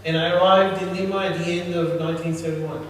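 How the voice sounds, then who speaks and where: A man's voice: a little high, level tone, moderate pace. A man interpreting a sermon in short consecutive phrases, restarting and repeating words as he translates. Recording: echoey hall, distant mic, noisy.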